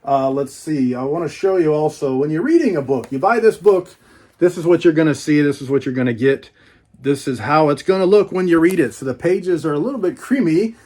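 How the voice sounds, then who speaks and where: A man's voice: on the high side, animated, brisk, a little mellow. A man chatting casually through an unboxing as a book collector, in a folksy, rambling, informal way.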